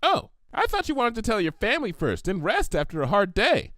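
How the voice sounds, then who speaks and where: A man's voice: sits high, wildly swooping pitch, brisk, sharp tone, dry recording, clean studio sound, exaggerated voice. A man voicing a hyperactive, cheerful young female cartoon pony with a bubbly, gushing, rambling manner.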